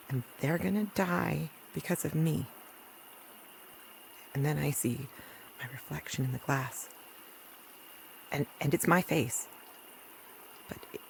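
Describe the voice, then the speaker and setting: A woman's voice: sits low, vocal fry, darkish tone, a little hiss or hum, exaggerated voice, poor audio. A woman playing a weary, dogged rural doctor and mother in an audio drama, with a dry, blunt, wry delivery. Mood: haunted, hushed. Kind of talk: naturalistic audio drama acting.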